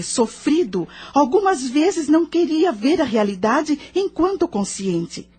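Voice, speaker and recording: A Brazilian woman acting a patient, moralizing spirit mentor in an audio drama, measured, probing and didactic. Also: fairly high pitch, a little mellow, slightly rough.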